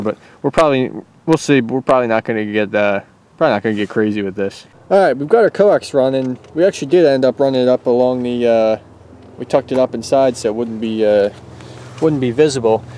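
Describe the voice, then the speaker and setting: A man's voice: mid-range voice, fairly quick, smooth. A man casually explains hands-on work in a loose, rambling way, as the host of a how-to vlog recorded in and around a car. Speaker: host of a car radio install video. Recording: steady background noise, outdoor and vehicle ambience.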